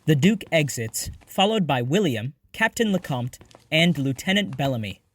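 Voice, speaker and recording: A man's voice: on the high side, very animated, moderate pace, a bit bright. A man narrating an audio drama by reading out stage directions.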